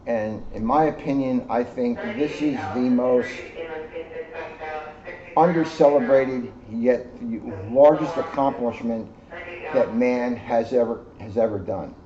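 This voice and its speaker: A middle-aged American man giving an informal educational talk in a deliberate, emphatic way, phrase by phrase. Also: medium pitch, a crowd in the background. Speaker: police officer reading to children. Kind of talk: informal educational talk and picture-book reading. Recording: muffled audio with clear background noise.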